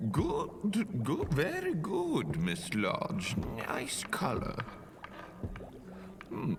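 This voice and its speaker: Fantasy audio drama: male actor as exacting magic-academy professor, slow, pedantic delivery, expressive pitch, big reverberant room. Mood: stern, instructive. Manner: pedantic, scolding lecturer with deliberate phrasing. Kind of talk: scripted fantasy audio roleplay. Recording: long hall reverb, faint noise.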